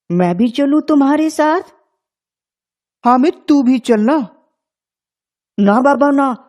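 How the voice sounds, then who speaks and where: Eager young village boy, cartoon story, played by a woman: childlike, boastful, mellow tone, unhurried pace. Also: high voice.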